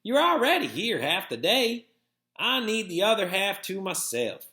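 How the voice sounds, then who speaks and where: A man's voice: sits high, very animated, measured pace, bright, forceful tone, echoey room, voice pushed up, heavily acted. A man voicing a plain-spoken, worn-out old country grandmother, blunt and folksy, in a storybook reading. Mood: firm, weary.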